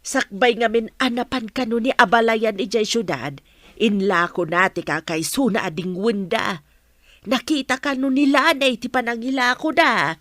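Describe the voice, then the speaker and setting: A woman's voice: fairly high pitch, moderate pace, fairly bright tone, dry recording, natural voice. Woman as nagging older mother, dry close sound. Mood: reproachful. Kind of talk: Ilocano radio drama acting.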